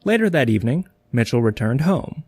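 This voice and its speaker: A man's voice: medium pitch, big pitch swings, fairly quick, background noise. A man reading scripted narration as the narrator of an audio drama.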